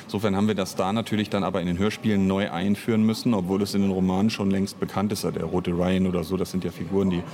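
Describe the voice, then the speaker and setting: A man giving unscripted interview answers in a rambling, halting way as a writer and director. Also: sits low, level tone, a little mellow. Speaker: interview guest, audio drama author and director.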